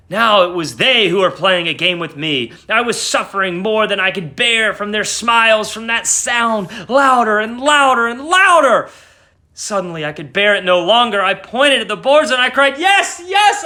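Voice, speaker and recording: A man playing a mad, guilt-ridden murderer narrating a horror story, dramatic, shifting between hushed and frantic. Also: a little high, pitched above the performer's own voice. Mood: frantic, crying out. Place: small home room.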